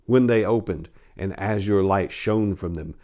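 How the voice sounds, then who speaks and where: A man with a fairly low voice performs as a storyteller. His delivery is theatrical and happily hammed up, aimed straight at his listeners. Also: measured pace, warm tone. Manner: theatrical, hammy. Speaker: narrator and story editor. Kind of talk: dramatic story narration and informal talk to listeners.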